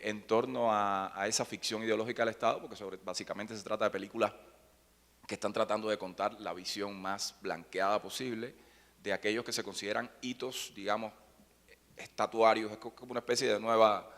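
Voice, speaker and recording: A man's voice: lowish voice, a bit bright. A man speaking on a panel, thoughtful and reflective, often restarting his phrases. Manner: thoughtful, with frequent restarts and hesitations. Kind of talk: academic panel talk. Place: conference hall. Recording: reverberant hall.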